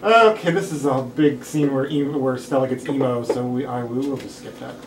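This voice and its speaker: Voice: mid-range voice, normal speed. A person reading screenplay stage directions aloud at a table read. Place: indoor room, group table read. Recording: roomy distant mic, clear background noise.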